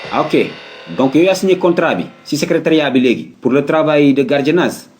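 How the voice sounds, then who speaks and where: A man's voice: on the high side, a little fast, darkish tone, faint music behind, lightly acted. A man playing a breezy, matter-of-fact employer with a Senegalese accent in a comedy cartoon. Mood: businesslike. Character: breezy male employer hiring a night watchman.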